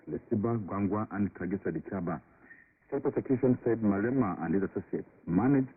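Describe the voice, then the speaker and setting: Very deep husky news anchor on radio. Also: male voice. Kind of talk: scripted news presenting. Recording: tinny shortwave radio.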